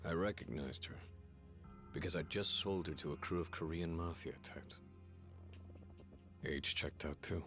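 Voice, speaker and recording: An actor playing a volatile, guilt-ridden gangster in a dramatic English video game dub, with a coarse, intense delivery. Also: male voice, low-pitched, measured pace, long pauses, fairly bright tone, soft background music. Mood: regretful, reflective.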